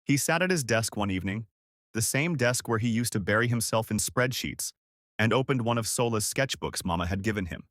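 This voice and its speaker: A man's voice: lowish voice, animated, fairly quick, fairly bright tone, no room sound, studio quality. A man narrating a scripted story as a storyteller.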